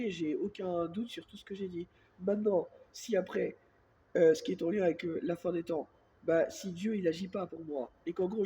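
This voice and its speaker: A somewhat high-voiced man with a stuffy head cold speaks quietly into a phone held close, in a rambling, repetitive monologue. A faint hiss sits under his voice. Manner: speaks softly on purpose; rambling, repeats filler words constantly. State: has a cold, congested and coughing. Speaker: self-filmed vlogger. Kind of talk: casual monologue to camera.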